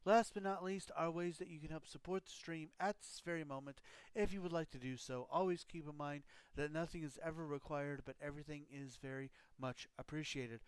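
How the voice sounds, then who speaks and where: A man chats through a live game stream, his voice a little high and smooth yet roughened by a scratchy throat. He rambles through explanations with plenty of ums. The sound is close and dry. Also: fairly even intonation, fairly quick, no room sound. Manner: rambling, loose explanations with frequent fillers. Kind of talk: casual live-stream chat.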